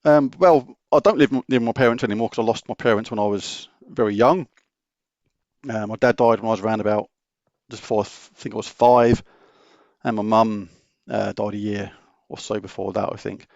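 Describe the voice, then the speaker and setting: A man's voice: lowish voice, fairly even intonation, fairly quick, vocal fry, darkish tone, dry recording, highs cut off. A middle-aged British man hosting a gaming channel, casually answering viewer questions in an open, matter-of-fact way that rambles and restarts. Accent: British English. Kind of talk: casual Q&A chat.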